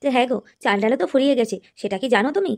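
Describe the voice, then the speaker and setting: A woman's voice: fairly high pitch, expressive, racing, darkish tone. A woman voicing a nagging, thrifty old grandmother and housewife in a comedy cartoon, full of complaints. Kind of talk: Bengali comedy cartoon dialogue.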